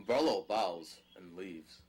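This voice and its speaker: A man's voice: deep voice, expressive, slow pace, a little mellow, husky. A man narrating an audio drama, reading scripted stage directions.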